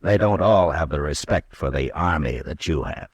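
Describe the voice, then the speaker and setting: A man playing a calm, steady frontier marshal in a 1950s radio drama, measured and firm. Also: extremely low pitch, slightly rough, no room sound.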